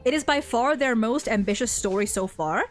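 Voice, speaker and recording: High-voiced opinionated woman, casual chat. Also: expressive, moderate pace, faint music behind. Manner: emphatic, opinionated, rambling tangents, swears. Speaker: host of a video game story ranking discussion.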